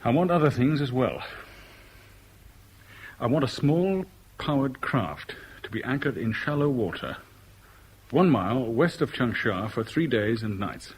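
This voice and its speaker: A man's voice: medium pitch, expressive, measured pace, long pauses, breathy edge, poor audio. An actor playing a terse, resolute Englishman in a 1970s radio drama, with an English accent and a clipped, serious manner. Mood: firm, businesslike. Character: respectable Englishman, terse, resolute, embittered by love. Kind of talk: 1970s British radio drama acting and first-person narration.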